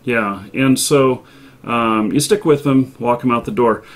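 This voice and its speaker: A man hosting a live stream in an unscripted, chatty way, speaking haltingly with long pauses. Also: mid-range voice. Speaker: live show host.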